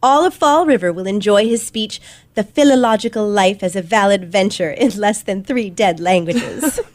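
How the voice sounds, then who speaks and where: A woman's voice: medium pitch, moderate pace, natural voice. A woman playing a self-assured, precocious teenage girl detective in an old-fashioned radio play, poised, smug and formal. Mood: self-satisfied, playful.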